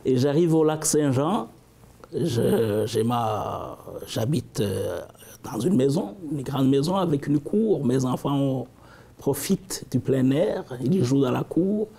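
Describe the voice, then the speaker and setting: A man from the region, answering interview questions thoughtfully, with halting restarts. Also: medium pitch, expressive, vocal fry, husky. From African Great Lakes region. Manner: thoughtful, halting, with frequent restarts and warm asides.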